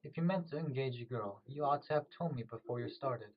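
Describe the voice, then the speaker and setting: A man's voice: medium pitch, fairly quick, close to an everyday voice. A man playing a poor, conflicted farmer with rural old-fashioned phrasing in an amateur period drama. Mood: firm, frustrated. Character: poor, conflicted married farmer, secretly in love. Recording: muffled audio, distant microphone.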